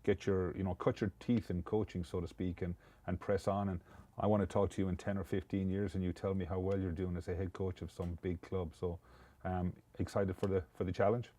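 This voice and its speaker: A man's voice: deep voice, flat delivery, a little fast, warm tone. A man hosting a casual interview podcast, talking in a chatty, rambling way with many restarts. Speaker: podcast host.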